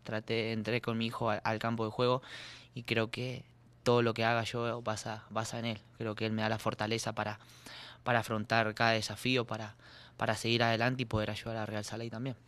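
Earnest young man, smooth and flat. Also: fairly low pitch. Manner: earnest, halting with repeated words. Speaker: soccer player. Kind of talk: postgame press conference answers. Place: press room. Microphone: desk mic on stand.

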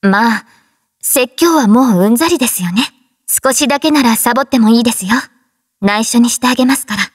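Devoted android soldier woman, anime game, soft husky breath, polite, composed, dry close sound. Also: on the high side, animated, brisk, dry recording. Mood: gently lecturing. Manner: polite, formal, soft-spoken. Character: gentle, composed, devoted female android soldier addressing her commander. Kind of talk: Japanese anime game voice acting.

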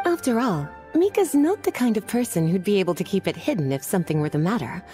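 A woman's voice: mid-range voice, big pitch swings, fast, dark tone, soft background music, close to an everyday voice. A woman voicing a languid, flirtatious adult witch in a video game, coy and poised. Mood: composed, fond.

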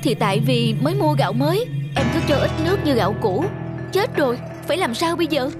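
Whiny, high-voiced young Northern Vietnamese wife. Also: female voice, expressive, fast, over loud music. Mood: flustered. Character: young married woman, whiny, complaining, strong-willed daughter-in-law. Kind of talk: animated moral-tale dialogue.

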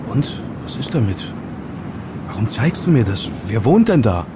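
A man's voice: lowish voice, sing-song, unhurried, heavy background noise. A man playing a puzzled young hacker in an audio drama, with a wary, curt, questioning manner. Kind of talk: German audio drama acting.

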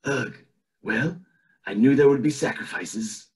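A man's voice: medium pitch, a little slow, a little mellow, natural voice. A man playing a haughty, scheming old cartoon tycoon, pompous, in an amateur table read. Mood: scheming, disdainful. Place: home room, on a video call.

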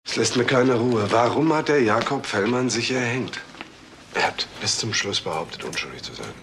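Animated male detective, played by an actor. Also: mid-range voice, normal speed, noisy background, close to an everyday voice. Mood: pensive. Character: restless, probing male police detective. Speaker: investigator. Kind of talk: German crime radio drama acting.

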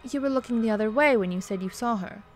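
An actress playing a kindly, refined bishop's wife, polite and hesitant, in a radio-style drama. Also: female voice, fairly high pitch, fast, faint music behind, close to an everyday voice. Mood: puzzled.

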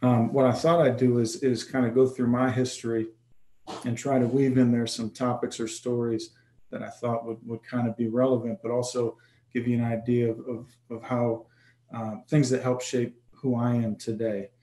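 Athletic director guy from detroit, 40s, talking flat and mellow on a zoom call in an echoey office. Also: mid-range voice, moderate pace. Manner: friendly, repeats words as he starts sentences. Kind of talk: personal story on a video call. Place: office, indoors. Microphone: webcam on a video call.

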